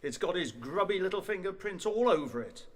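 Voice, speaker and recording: A man's voice: high-pitched, animated, brisk, fairly bright tone. An actor playing an indignant male college don in a radio play, scornful and blustering. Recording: echoey hall with long reverb.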